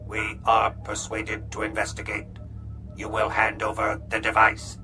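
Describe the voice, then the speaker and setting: A man putting on a menacing alien warrior in an audiobook, speaking in a dead-flat, commanding monotone with no rise or fall at all. Music plays behind the voice. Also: on the low side, unhurried, lightly acted. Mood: cold.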